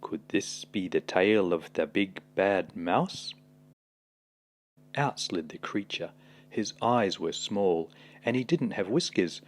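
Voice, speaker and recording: A man reading a children's picture book aloud as a storyteller, dramatic and expressive. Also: sits low, slow pace.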